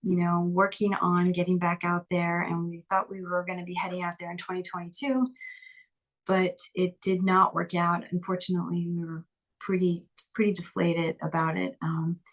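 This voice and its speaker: Upbeat woman with a flat, lowish voice. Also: normal speed, low-quality recording. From Lehigh Valley, Pennsylvania. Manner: upbeat, chatty, frequent restarts and laughs. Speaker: musician guest. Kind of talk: casual interview chat.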